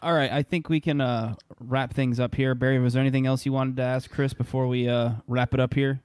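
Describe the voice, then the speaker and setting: A man hosting a podcast in a casual chat. His voice sits at a middle pitch and he speaks haltingly but keenly, repeating words as he goes. The recording is dry and close. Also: level tone, darkish tone, smooth, no room sound. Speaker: podcast host.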